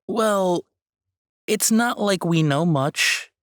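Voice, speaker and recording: Woman playing a gentle nerdy college girl, low voice, kinda hesitant, audio drama, really dry sound. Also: animated, drawn-out words, no room sound. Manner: tentative, conciliatory, hesitant. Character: gentle, hesitant young female tech-savvy student friend.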